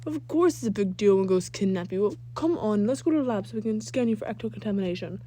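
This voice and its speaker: Woman as a loud, confident storybook dad. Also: mid-range voice, lively intonation, fast talker, natural voice. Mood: loud, concerned. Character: loud, confident father who hunts ghosts.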